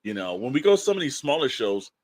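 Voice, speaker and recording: A man's voice: mid-range voice, very animated, quick pace. An American man co-hosting a podcast in casual, rambling talk peppered with verbal tags like "you know". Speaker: podcast co-host.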